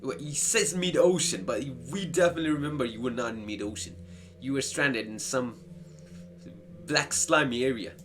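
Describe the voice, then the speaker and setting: A man's voice: mid-range voice, moderate pace. A man giving live commentary as he plays a horror game, often repeating himself. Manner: excitable, stammering, repeats himself. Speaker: gaming video host. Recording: music playing behind the voice.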